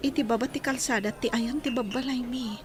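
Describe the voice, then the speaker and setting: A woman's voice: a little high, steady tone, fairly quick, crowd noise, natural voice. A woman playing an earnest young daughter who recounts events in an audio drama. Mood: reflective. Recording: clear background noise and ambience.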